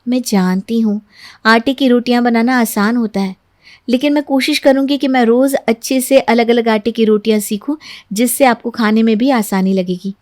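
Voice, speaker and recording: Woman as a soft-spoken, earnest young bride. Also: fairly high pitch, steady tone, moderate pace, natural voice. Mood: apologetic. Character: gentle, health-preaching new young daughter-in-law.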